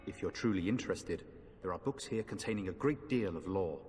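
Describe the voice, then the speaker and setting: A man playing an earnest, dispirited knight in a fantasy game, speaking in a formal, measured, grave way. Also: low-pitched, expressive. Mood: courteous, resigned. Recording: long hall reverb, faint music behind.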